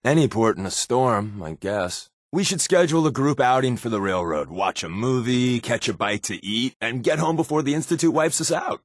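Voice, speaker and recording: A man's voice: mid-range voice, lively intonation, normal speed, hardly any pauses, no echo, studio quality, natural voice. A man playing a wry, laid-back spy companion in a post-apocalyptic video game, given to sardonic asides. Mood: wry, casual.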